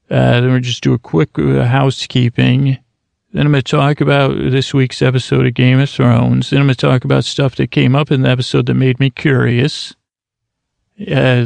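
Rambling gravelly-voiced podcast man. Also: mid-range voice, a little mellow, no room sound. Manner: rambling, self-mocking, laughs at his own jokes. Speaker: podcast host. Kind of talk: rambling solo podcast intro.

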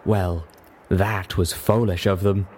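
A refined lady unicorn from a storybook, as a male narrator voices her, speaks with a gentle purr. She is coaxing and lightly teasing, in a fairly low register, with faint noise behind. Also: fairly even intonation, slow pace. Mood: approving.

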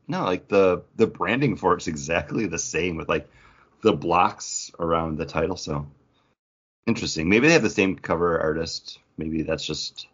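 Podcast co-host, male, deep voice, rambling casual talk, dull lo-fi sound. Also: fairly bright tone. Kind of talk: casual chat about comic books.

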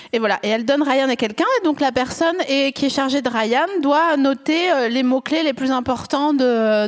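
Playful woman hosting a live show. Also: a little high. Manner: playful, teasing, laughs often. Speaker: podcast host. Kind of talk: casual chat before a live audience.

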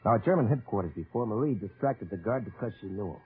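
Determined American army captain. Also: male voice, fairly low pitch, animated, fast, slightly rough, faint music behind. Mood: urgent, resolute. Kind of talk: 1940s-50s radio drama acting with first-person narration. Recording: muffled old radio broadcast recording.

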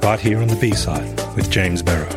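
A man's voice: deep voice, moderate pace, over loud music. A man hosting a podcast, reading a scripted intro and then chatting casually in a recording studio. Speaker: podcast host.

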